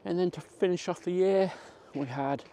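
A man's voice: high-pitched, normal speed, dark tone. A middle-aged man, chatting casually as a vlogger while walking outdoors. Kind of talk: casual walk-and-talk chat. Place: outdoors in a country park. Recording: faint outdoor ambient noise.

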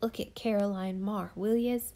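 Woman voicing a gossiping church eccentric, loud, derisive, scornful, storybook character. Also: medium pitch, steady tone, moderate pace, close to an everyday voice.

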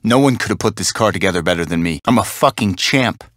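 An actor playing a foul-mouthed, cocky gangster mechanic, abrasive and boastful. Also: male voice, low-pitched, lively intonation, quick pace, fairly bright tone, dry recording, clean studio sound.

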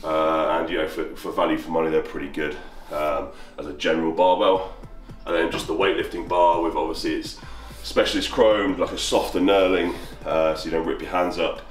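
Deep-voiced man touring his gym. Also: flat delivery, brisk, few pauses. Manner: chatty, matter-of-fact, walking around pointing at kit. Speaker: strongman showing his home gym. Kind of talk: casual gym tour. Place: small wooden home gym barn. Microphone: handheld camera close up. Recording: echoey wooden room with faint music.

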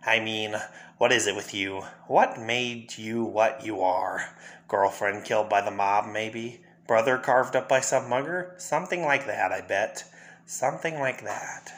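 Crazy clown villain from a comic, bright edgy voice, taunting and erratic. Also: male voice, on the low side, a little slow. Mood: taunting, bitter. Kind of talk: fan dramatic reading of a comic.

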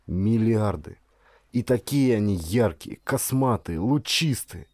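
Man reading an audiobook, lowish soft-edged voice, really lively delivery, very dry close sound. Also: slow, no room sound. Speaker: audiobook narrator, actor. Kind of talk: expressive first-person audiobook narration.

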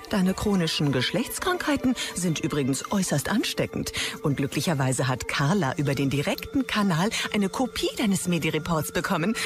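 A malicious female AI assistant, acted by a woman in a sci-fi audio drama. She announces with sugary sarcasm in a lowish, swooping voice. Music plays clearly underneath. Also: natural voice. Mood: gloating. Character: taunting female AI implant assistant, mock-cheerful, malicious. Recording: music behind the voice.

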